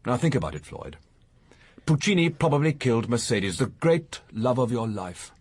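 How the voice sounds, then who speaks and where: A man's voice: mid-range voice, big pitch swings, normal speed, husky. An actor playing a suave, world-weary male entertainer in a radio drama, with arch, dry, theatrical phrasing. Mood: calmly persuasive.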